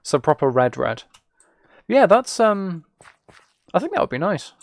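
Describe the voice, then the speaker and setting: A man's voice: high-pitched, big pitch swings, unhurried, vocal fry, no room sound. A British man streaming a game and chatting casually with viewers, musing aloud in an informal, rambling way. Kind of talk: casual chat while playing a game.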